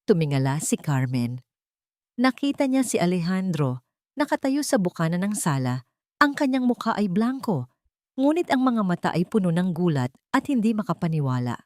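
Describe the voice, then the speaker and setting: A woman's voice: on the low side, very animated, moderate pace, a little mellow, dry recording, clean studio sound. A woman narrating a scripted romantic melodrama in a dramatic storytelling style.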